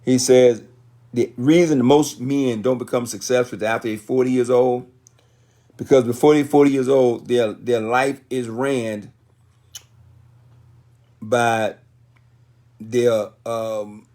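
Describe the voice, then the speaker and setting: A man's voice: medium pitch, steady tone, slow pace, long pauses, dark tone. An American man in his sixties giving a motivational livestream talk in a folksy, colloquial way, repeating phrases for emphasis. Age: 60s. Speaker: motivational business speaker.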